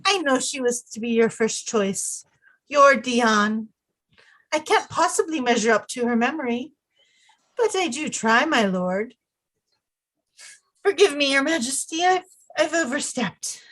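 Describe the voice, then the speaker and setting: A woman's voice: sits high, animated, a little slow, long pauses, a bit bright, full character voice, low-quality recording. A woman playing a devoted, deferential young French queen with courtly, theatrical politeness in an audio drama. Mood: wistful, apologetic. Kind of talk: stage-style audio drama acting.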